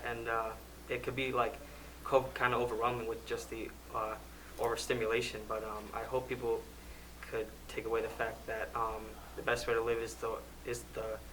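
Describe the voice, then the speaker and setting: A seventeen-year-old young man on a film festival panel, speaking hesitantly with many fillers. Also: lowish voice. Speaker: panelist filmmaker. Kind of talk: casual panel answer. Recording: distant mic with clear noise.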